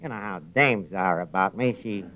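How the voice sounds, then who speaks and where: A man's voice: on the low side, normal speed, lightly acted. An actor playing a boastful, wisecracking working-class tavern man with a New York accent in old-time radio comedy. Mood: boastful joking. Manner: wry, wisecracking, slangy. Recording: tinny, narrow vintage radio recording.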